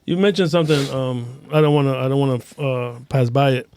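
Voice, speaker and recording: A man's voice: mid-range voice, a little fast, a little mellow, clear, resonant tone, dry recording. A man hosting a casual podcast, speaking in emphatic, sweary slang. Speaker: podcast host.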